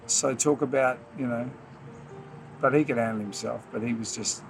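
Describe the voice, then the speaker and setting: A man's voice: mid-range voice, moderate pace, gravelly, faint music behind, rough recording. A man, a former boxer, reminiscing in a documentary interview with easygoing, hesitant storytelling. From Australia.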